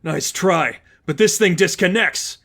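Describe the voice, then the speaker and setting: Guy doing a big armored bad guy from a superhero story, hot headed, yelling high and exasperated. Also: unhurried, vocal fry, heavily acted. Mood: defiant. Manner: shouting, exasperated. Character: giant male villain in power armor, hot-headed brute.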